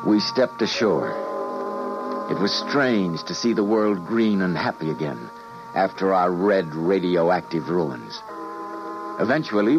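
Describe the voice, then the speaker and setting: An actor playing an anxious male scientist in a 1950s radio drama, earnest and theatrical as he speaks and narrates. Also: low voice. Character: anxious male scientist recounting a time-travel mission. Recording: muffled, noisy old radio recording.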